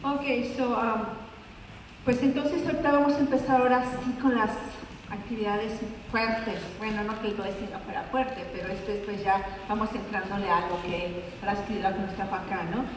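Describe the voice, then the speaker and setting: Excited woman hosting in an echoey hall. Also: medium pitch, fast. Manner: excited, upbeat, addresses the crowd. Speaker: event host. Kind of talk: bilingual welcome remarks to an audience. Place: large indoor event hall. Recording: echoey hall, mic far off, room noise.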